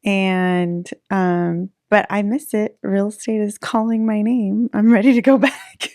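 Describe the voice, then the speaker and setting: A woman's voice: mid-range voice, steady tone, a little slow, a little mellow, dry recording, clean studio sound. A woman in her thirties, a real estate agent chatting casually in an interview, laughing easily between fillers. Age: 30s.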